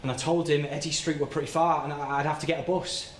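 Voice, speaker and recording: An actor playing an anguished, guilt-ridden working-class man in a halting, plain-spoken theatrical monologue. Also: a little high, level tone, close to an everyday voice. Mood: uneasy recollection. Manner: halting, plain-spoken, repeats and stumbles over words. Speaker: stage actor. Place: indoor soundstage. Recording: roomy, noisy soundstage livestream.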